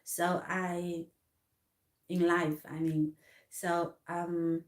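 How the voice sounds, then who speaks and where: A woman from Hong Kong in her thirties telling a personal story in halting English with many restarts. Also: lowish voice, flat delivery, roomy sound. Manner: halting, many restarts and fillers, non-native grammar. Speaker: artist vlogger.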